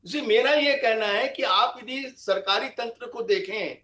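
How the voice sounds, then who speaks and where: A man's voice: very high voice, unhurried, sharp tone. A man arguing critically as a TV panel guest, posing rhetorical questions, in a roomy, echoing recording. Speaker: panel guest on a consumer affairs TV show. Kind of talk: TV panel discussion, opinionated argument.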